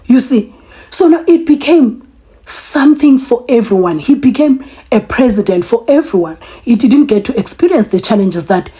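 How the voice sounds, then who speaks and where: South African woman host, worn out, earnest off-camera commentary, husky mellow voice. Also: a little high, lively intonation, moderate pace. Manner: earnest, conversational, with restarts. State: tired. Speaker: vlog host. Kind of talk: political commentary vlog. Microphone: voice-over, off camera.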